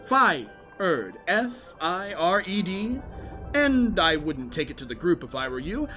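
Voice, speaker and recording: Man acting shrewd, sardonic schemer, high animated voice. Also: soft background music, full character voice. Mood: curt, dismissive. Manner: polite, measured, sardonic. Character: shrewd, coolly ruthless schemer seizing a faction's leadership. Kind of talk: audio drama acting.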